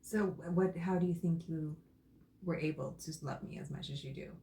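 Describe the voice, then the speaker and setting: A woman in casual conversation with her partner, thoughtful and soft-spoken. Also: deep voice, normal speed, gravelly, slight background noise. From Arizona, USA. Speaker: co-host.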